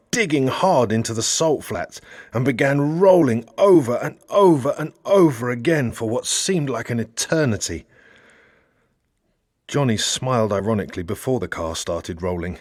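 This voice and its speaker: A male audiobook narrator delivers scripted fiction with dramatic expression. His medium-pitched voice moves widely up and down, and the sound is dry, free of room echo. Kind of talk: scripted narration of a satirical novel.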